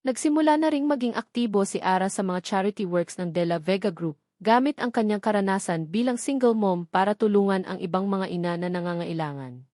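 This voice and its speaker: A woman's voice: mid-range voice, fairly quick, mellow, no echo, studio quality. A woman with a Filipino accent reading a scripted romance story as its narrator.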